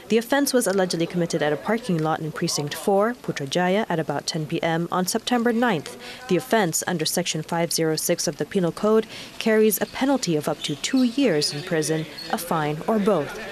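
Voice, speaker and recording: A woman with a Malaysian accent reading scripted news as an anchor, in a formal, measured delivery. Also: lowish voice. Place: TV news studio.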